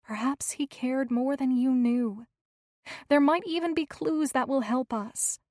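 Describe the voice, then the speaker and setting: A kind, reassuring young woman comforting a friend, as a woman narrator puts her on. Her voice is soft, slightly high and unhurried, recorded dry and close. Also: no room sound. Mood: gentle. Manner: gentle, reassuring. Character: caring young woman friend, gentle and soothing.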